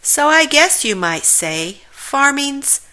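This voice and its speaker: A woman's voice: sits high, very animated, slow pace, pushed and bright, voice pushed up, exaggerated voice. A woman voicing a friendly, proud, folksy farmer bear in a children's storybook, explaining things in rhyme. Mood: thoughtful.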